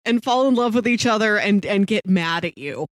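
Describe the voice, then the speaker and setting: A woman's voice: medium pitch, expressive, normal speed, no echo. A woman co-hosting a podcast in casual conversation, talking in a wry, lightly amused way. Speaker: podcast co-host. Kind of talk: casual chat.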